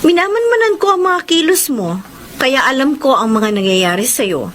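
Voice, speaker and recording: A woman's voice: high-pitched, very animated, moderate pace, noisy background. An actress playing a possessive, scheming rich woman in a Filipino radio drama, with a teasing, insinuating delivery. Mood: smug, knowing.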